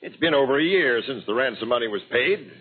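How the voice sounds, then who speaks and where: A man's voice: medium pitch, lively intonation, fast, lightly acted. A man playing a wry police captain in an old-time radio drama, reasoning things out in slangy terms. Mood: sardonic, reasoning. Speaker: actor in a radio crime drama. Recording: phone-like old radio recording.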